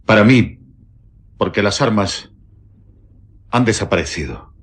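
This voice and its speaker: An actor with a Castilian accent playing a terrified, guilt-ridden prison warden confessing haltingly in a radio drama. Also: male voice, lowish voice, animated, slightly rough. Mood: pleading, trembling. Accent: Castilian Spanish. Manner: halting, trembling, pleading. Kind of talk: Spanish radio drama acting.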